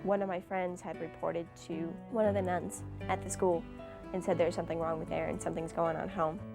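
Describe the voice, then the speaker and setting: Low, creaky young woman. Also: little pitch movement, fairly quick, over music. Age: young adult. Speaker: interviewee, former foster youth. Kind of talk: candid personal interview.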